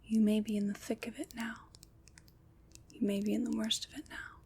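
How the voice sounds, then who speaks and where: A woman whispering gently and reassuringly with deliberate pauses, in an ASMR roleplay as a kind, soothing witch. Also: a little high, flat delivery, slight background noise. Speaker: ASMR performer.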